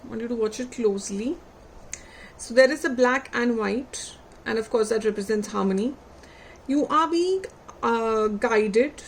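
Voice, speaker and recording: High, gravelly woman reading tarot. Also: slight background noise. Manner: unhurried, reflective, asks viewers questions. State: losing her voice. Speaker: tarot reader. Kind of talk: tarot card reading to viewers.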